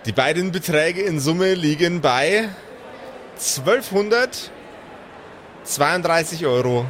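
A man narrating an improvised tabletop game as game master, in measured, searching phrases. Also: fairly high pitch, lively intonation, a bit bright, slight background noise.

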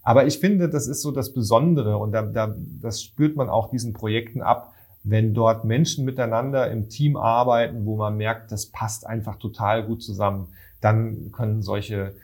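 A man's voice: on the low side, fairly even intonation, moderate pace, gravelly, a little mellow, slightly rough. A man speaking as a guest author, reflecting earnestly and appreciatively on his work. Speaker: children's book author, interview guest. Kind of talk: reflective personal account, spontaneous speech.

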